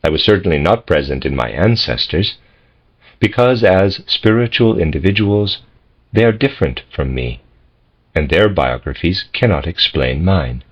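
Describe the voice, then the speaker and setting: A man reading a philosophical text as scripted narration, in measured, deliberate phrases with pauses. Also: low-pitched, lively intonation. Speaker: audiobook reader. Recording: dull, lo-fi audio.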